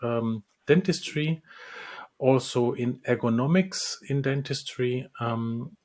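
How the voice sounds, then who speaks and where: Guy, dentist being interviewed, talks slow with lots of ums. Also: mid-range voice, a little mellow. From Czech Republic. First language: Czech. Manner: hesitant, many ums and restarts. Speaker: dentist and lecturer. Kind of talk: interview answers.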